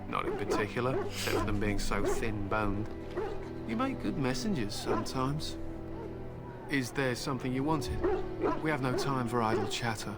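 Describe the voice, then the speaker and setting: A man's voice: medium pitch, big pitch swings, normal speed, natural voice. An actor playing a stern male warrior in a video game, solemn and curt, speaking proudly of battle and his hounds. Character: stern male human warrior, grim hunter with war hounds. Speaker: game character. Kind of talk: voiced game dialogue. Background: loud game music.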